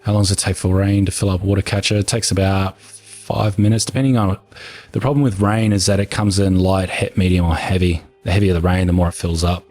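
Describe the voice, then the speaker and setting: A man talks in a calm, soothing, unhurried way, answering viewer questions. His voice sits very low and sounds husky, a little hoarse from long talking. Soft music plays faintly underneath. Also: dry recording. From New Zealand. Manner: relaxed, unhurried, matter-of-fact. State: hoarse from talking for over an hour. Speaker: gaming video creator answering viewer questions. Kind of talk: casual Q&A chat.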